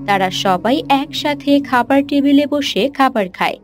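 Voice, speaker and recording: A woman's voice: sits high, measured pace, faint music behind. A woman narrating a scripted children's story as the narrator.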